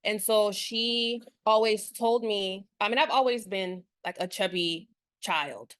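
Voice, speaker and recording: A woman from the Dutch West Indies who moved to the United States young, moderating and sharing personal reflections informally, pausing deliberately between phrases. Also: mid-range voice, a bit bright. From born in the Dutch West Indies, moved to the United States at four. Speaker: symposium moderator and participant.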